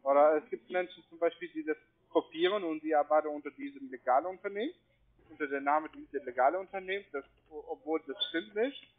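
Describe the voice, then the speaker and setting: A non-native man with a foreign accent doing persuasive sales talk over the phone, insistent, repetitive and halting in his phrasing. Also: on the high side. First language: not German. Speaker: call center agent selling trading courses. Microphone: telephone. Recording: tinny, band-limited phone line.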